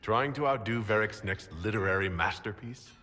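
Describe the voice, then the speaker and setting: Resolute plain-spoken hero, video game, very low voice, bright edge, serious, long hall reverb. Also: male voice, normal speed. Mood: thoughtful. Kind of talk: dramatic video game acting.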